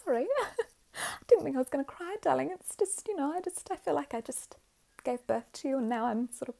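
A woman playing a doting, gushing mother in a soft, often whispered ASMR roleplay, full of soothing endearments. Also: on the high side, moderate pace, husky. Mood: tearful, overwhelmed. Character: doting, gushing, over-emotional mother doing her child's makeup.

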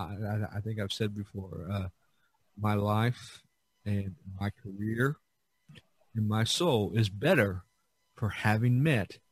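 A mature man hosts a casual podcast in a slightly low voice. He rambles and pauses often as he finds his words, and the sound is dry and close. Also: no echo. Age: middle-aged or older. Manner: rambling, halting delivery with many pauses. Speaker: podcast host.

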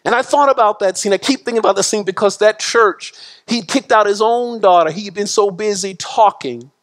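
A middle-aged man preaches in a church sanctuary, his voice sitting high for a man. He builds passion through repetition and gestures broadly at the pulpit, rising loud and falling soft in turn. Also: normal speed. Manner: impassioned preaching with repetition and wide swings in pitch and loudness. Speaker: pastor. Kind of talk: sermon.

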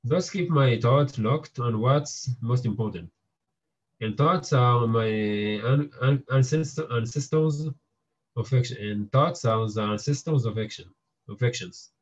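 A young man with a strong Israeli accent, hosting a book club and reading aloud with halting restarts and fillers. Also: mid-range voice, husky, dull audio. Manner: halting, with many fillers and restarts, repeating words.

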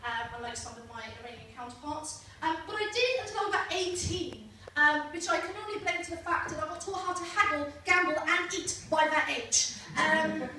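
A woman's voice: high-pitched, fairly quick, hardly any pauses, sharp tone, slight background noise. A young woman doing a stand-up comedy set on a small club stage, chatty and teasing the crowd, on a handheld mic filmed from the back of the room. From Britain. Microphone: handheld mic filmed by a far camera. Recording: echoey club from far off.

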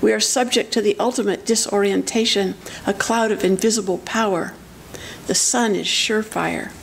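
A woman's voice: mid-range voice, steady tone, normal speed, creaky. An older woman reads poetry aloud from the page at a podium microphone in a lecture hall. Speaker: poet, reader. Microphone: gooseneck podium microphone. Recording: noisy live lecture-hall recording.

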